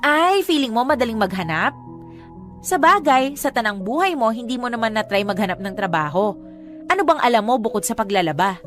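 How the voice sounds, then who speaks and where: An actress playing a proud, defiant younger sister with a Filipino accent, talking back sarcastically in a radio drama. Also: female voice, on the high side, expressive, a little fast, fairly bright tone, faint music behind. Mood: defensive, combative. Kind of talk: Tagalog radio drama acting.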